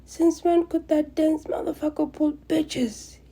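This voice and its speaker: A woman voicing an explosive, foul-mouthed angry young man who yells aggressively in a fan fiction reading. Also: high voice, steady tone, a little slow, voice pushed up. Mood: angry yelling. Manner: loud, aggressive yelling.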